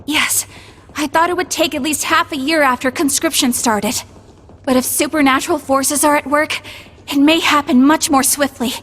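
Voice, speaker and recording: A woman's voice: high voice, fairly even intonation, moderate pace, a bit bright. A woman voicing a polite, thoughtful, composed young woman in a video game, with faint noise behind her. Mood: concerned. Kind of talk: English video game voice acting.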